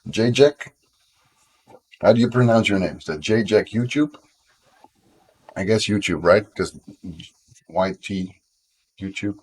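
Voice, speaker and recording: A Dutch man with a deep, low voice talks casually into a desk mic at home. He rambles and runs himself down, fills gaps with ums and restarts, and coughs now and then. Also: a little slow, pauses often, slightly rough. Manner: rambling, self-deprecating, frequent fillers and restarts. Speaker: game developer streamer. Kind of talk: casual live-stream chat.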